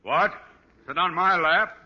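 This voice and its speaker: An actor playing a pompous, hot-tempered middle-aged school principal who sputters when outraged, in a 1940s radio sitcom style. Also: male voice, sits high, slow pace. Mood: outraged, sputtering. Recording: vintage radio, dull, reverberant, hissy.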